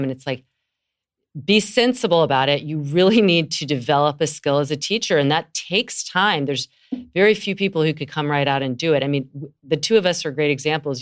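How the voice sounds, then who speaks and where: A woman's voice: sits low, clean tone. A middle-aged woman hosting an interview, talking in an enthusiastic, chatty way and laughing easily. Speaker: podcast host, yoga teacher. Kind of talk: conversational interview.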